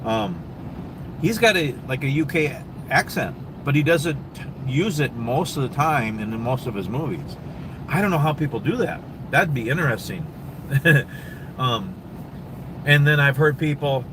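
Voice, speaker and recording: A man's voice: medium pitch, noisy background. A man with a Minnesota accent, hosting a casual livestream and answering viewer questions in a rambling, easygoing way full of ums and restarts. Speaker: livestream host. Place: truck stop, with traffic and air conditioning noise.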